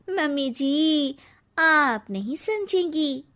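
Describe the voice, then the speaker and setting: A woman plays an exasperated young daughter-in-law in a comic cartoon story. Her voice is high, and she is emphatic, protective and theatrically pleading. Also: animated, very slow, pushed and bright, heavily acted. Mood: whining. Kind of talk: animated Hindi comic story acting.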